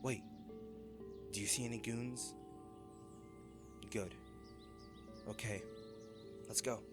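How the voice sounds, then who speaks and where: A man's voice: mid-range voice, steady tone, takes it slowly, over loud music, lightly acted. A man playing a cocky, wisecracking treasure hunter in an audio roleplay, with a wry, flippant, conversational delivery. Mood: hushed, curious. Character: cocky, wisecracking male treasure hunter trekking through jungle ruins. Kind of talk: dramatic audio roleplay addressed to a companion.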